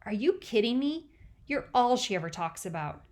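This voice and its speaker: A woman's voice: fairly high pitch, lively intonation, normal speed, darkish tone, lightly acted. A woman reading a sulky teenage girl. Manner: pouty, snorting.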